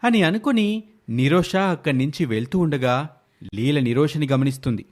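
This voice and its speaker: A man's voice: on the high side, moderate pace, darkish tone. A man reading scripted narration for a moral story as its narrator.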